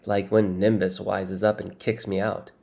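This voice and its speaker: A man's voice: fairly low pitch, little pitch movement, a little slow, gravelly, mellow. A man voicing a smug, breezy teenage boy who likes breaking rules, in an audiobook story. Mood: casual. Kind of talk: acted audiobook dialogue.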